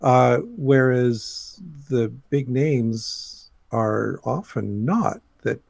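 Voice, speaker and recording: Measured man with a creaky voice. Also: medium pitch, a little mellow, no room sound. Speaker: podcast guest. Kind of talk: casual panel discussion.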